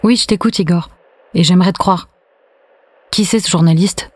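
A woman's voice: fairly low pitch, moderate pace, slightly rough. An actress playing an anxious, questioning wife and mother in an audio drama. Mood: worried. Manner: concerned, questioning. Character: anxious, doubting wife and mother of rescue worker.

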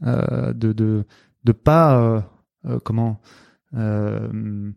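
Gravelly Marseille-accented man, hesitant. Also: fairly low pitch, flat delivery, extremely slow, darkish tone, no room sound. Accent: Marseille French. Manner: hesitant, many fillers and restarts. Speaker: guest, coach and author. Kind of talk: casual interview talk.

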